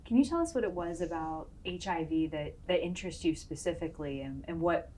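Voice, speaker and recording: A woman interviewer asking measured, formal questions in an oral history interview. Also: deep voice, dark tone, rough recording.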